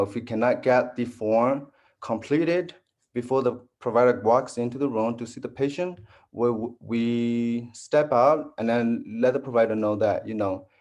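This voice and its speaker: A man from Burma whose first language is Po Karen, speaking as a dental health navigator on a panel, halting with many restarts. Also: mid-range voice, a little mellow. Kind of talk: panel discussion.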